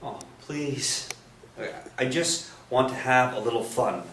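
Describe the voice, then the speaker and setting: A man's voice: mid-range voice, unhurried, a bit bright, close to an everyday voice. An actor playing a nosy, lecherous priest in a staged play reading, coaxing and insinuating as he goes. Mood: scheming. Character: nosy, wheedling, lecherous priest hungry for confessions. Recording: roomy, distant stage recording, faint noise.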